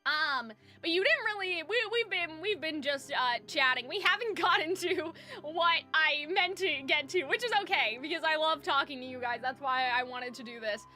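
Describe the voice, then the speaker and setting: A woman's voice: moderate pace, few pauses. A woman chatting casually as a livestream host, rambling and slangy in a giggly way, close to the microphone. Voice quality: high-pitched when excited. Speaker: live streamer, host. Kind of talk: casual livestream chat with viewers. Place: soundproofed room at home. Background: faint music.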